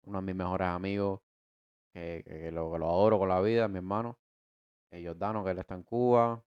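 Deep-voiced young man with Cuban Spanish accent. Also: brisk, smooth, lo-fi sound. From United States. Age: 20s. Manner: rambling, many restarts and repeated words; slangy and relaxed. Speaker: podcast co-host. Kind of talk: casual conversation about his life.

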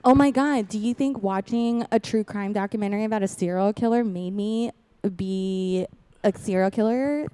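A woman's voice: medium pitch, moderate pace, mellow, clean tone, close to an everyday voice. A woman playing a bratty, scheming teenage daughter in improvised comedy, gushing in a valley-girl way. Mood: excited. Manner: gushing, valley-girl filler-heavy delivery. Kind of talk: improvised comedy parody of radio play.

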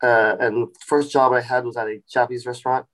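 A man in his forties, a chef and restaurant founder, telling his story in a relaxed podcast interview with many fillers and restarts. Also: medium pitch, fast talker, rough recording. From Sacramento, California. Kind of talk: casual interview.

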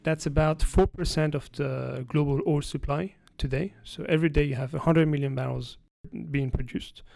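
A man from France, a guest analyst giving explanatory interview answers, halting with frequent restarts. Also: fairly high pitch, steady tone, gravelly, darkish tone, dry recording.

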